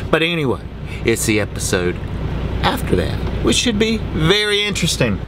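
A man's voice: wildly swooping pitch, normal speed. A man in his forties chatting casually to camera as a trucker, upbeat and conversational, recorded at arm's length inside a truck cab. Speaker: trucker and vlog host. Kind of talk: casual vlog chat to camera. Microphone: handheld camera at arm's length. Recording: vehicle rumble and ambient noise.

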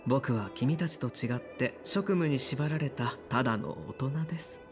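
A polite military doctor from a video game, acted by a man. He speaks formally and with measured composure at a middling pitch, with music clearly playing behind him. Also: natural voice. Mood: polite, reserved.

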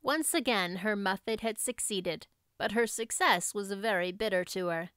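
A woman's voice: mid-range voice, moderate pace, a bit bright, clean tone, no echo, clean studio sound. A woman audiobook narrator reading a classic story as scripted narration.

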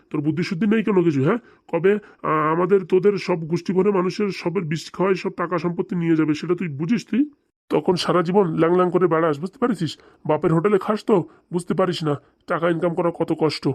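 A man's voice: high-pitched, fast talker, darkish tone, no room sound. A man playing a stern, hectoring father of a grown son in a comic cartoon. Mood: angry, scolding. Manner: hectoring, rhetorical questions, stern.

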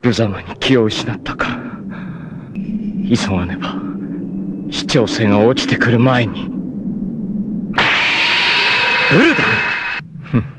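A man voicing a proud, grim, long-haired male martial artist in a 1980s anime, speaking intensely and often bursting into shouts. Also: mid-range voice, a little slow, long pauses, noisy background, lightly acted. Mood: resolute. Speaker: voice actor.

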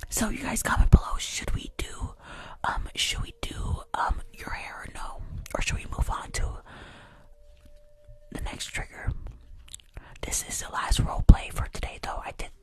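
A woman in her twenties doing hushed ASMR roleplay and livestream chat, murmuring repetitive little phrases close to the microphone. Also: moderate pace, pauses often. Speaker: ASMR creator and livestream host. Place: small indoor room at home. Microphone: close desktop microphone, earphones on.

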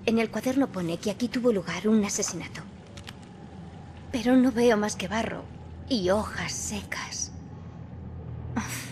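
A woman's voice: mid-range voice, a little slow, pauses often, darkish tone, a little hiss or hum, natural voice. A woman playing a sarcastic, guarded and defiant teenage girl in a video game, speaking Castilian Spanish. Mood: hushed, frustrated. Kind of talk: video game cutscene acting. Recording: prominent music under the voice.

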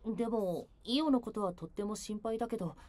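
A man's voice: sits high, quick pace, darkish tone, lightly acted. A man playing a cute, perky, childlike teenage boy idol in an anime-style voice drama, with a cutesy delivery. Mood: caring, bossy. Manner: cutesy, refers to self by name.

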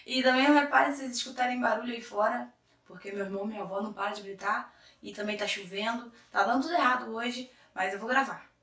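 Hoarse Brazilian woman, bright and echoey. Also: fairly high pitch, far from the mic. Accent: Brazilian Portuguese. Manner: chatty, lively, self-interrupting. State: hoarse from a cold. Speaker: vlogger. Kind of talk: casual chat to camera. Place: small room indoors. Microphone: camera in front at medium distance. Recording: echoey room, a little off-mic.

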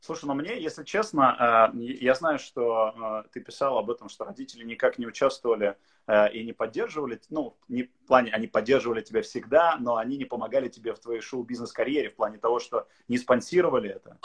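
Man, thirties, host, video call, slightly husky, relaxed with filler pauses. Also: medium pitch. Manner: relaxed, many filler pauses, friendly laughs. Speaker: live-stream host. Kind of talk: casual interview chat. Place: indoor room.

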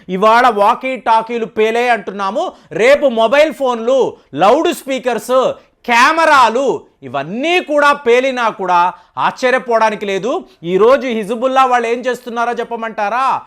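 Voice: mid-range voice, a bit bright. Commentator with emphatic, questioning delivery. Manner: rhetorical questioning, emphatic, conversational explaining. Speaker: news commentary channel host. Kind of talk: news analysis monologue to viewers.

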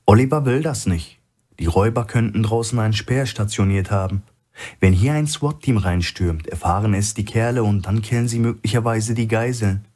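Guy reading as a brisk bossy woman in a thriller audiobook, husky, terse. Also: on the low side, darkish tone, voice pushed up, lightly acted. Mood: decisive. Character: brisk, commanding woman planning a covert operation.

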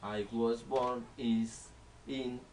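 A young man from Colombia in his twenties, speaking English with a Colombian Spanish accent as a learner and reciting a self-introduction word by word with pauses. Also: medium pitch, level tone, darkish tone, distant mic, a little hiss or hum, poor audio. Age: 20s. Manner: halting, word-by-word delivery with pauses. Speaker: student. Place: small living room at home. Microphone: camera in front of the speaker.

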